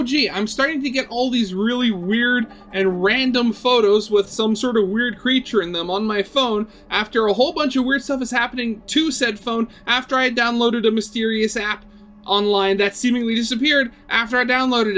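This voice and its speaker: A man's voice: high voice, a bit bright. A man narrating a visual novel aloud as a gaming host, switching between storybook-like reading and offhand, sarcastic commentary.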